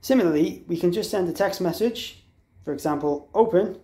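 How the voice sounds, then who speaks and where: A man with a slightly high voice talks through a product tutorial in an echoey room. He explains things step by step in an informal, unscripted way, carefully spelling out letters and numbers. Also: normal speed, warm tone. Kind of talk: instructional walkthrough, informal and unscripted. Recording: echoey, roomy sound.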